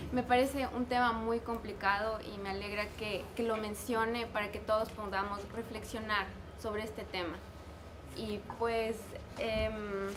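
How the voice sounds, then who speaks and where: Woman panelist, measured, hesitant, slightly high voice, noisy room. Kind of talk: panel discussion answer.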